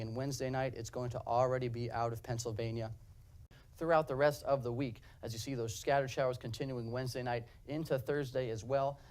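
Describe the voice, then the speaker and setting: A man's voice: medium pitch, darkish tone. A young man delivering a broadcast weather forecast in an upbeat presenter style, walking viewers through the map. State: slightly hoarse.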